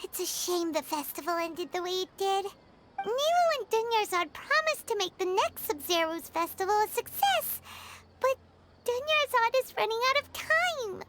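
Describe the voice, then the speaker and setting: Casting: tiny excitable game fairy, extremely high childlike voice, wildly swooping intonation, whiny and exclamatory. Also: female voice, moderate pace, very noisy. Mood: glum. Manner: refers to self in third person, whiny and exclamatory. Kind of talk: video game voice acting.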